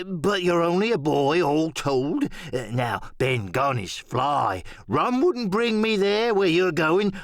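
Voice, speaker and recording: A man voicing a wily, anxious, half-crazed old marooned pirate with drawn-out, rambling sailor talk, in an audiobook play. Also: on the high side, fairly bright tone, no room sound, studio quality, pitched above the performer's own voice, full character voice. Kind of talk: audiobook radio play acting.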